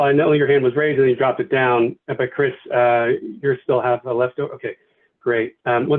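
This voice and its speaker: Give me a man leading an online meeting over a thin, phone-quality line, polite and full of fillers. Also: mid-range voice. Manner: many fillers and restarts, polite thanks. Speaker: meeting facilitator. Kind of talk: virtual meeting facilitation. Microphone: video call. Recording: tinny phone-like video call audio.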